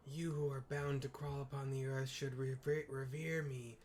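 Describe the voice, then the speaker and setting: A man's voice: medium pitch, little pitch movement, measured pace, darkish tone, slight background noise, lightly acted. A man voicing a huge, cruel winged female deity in a fantasy game, haughty and theatrical with archaic phrasing. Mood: scornful.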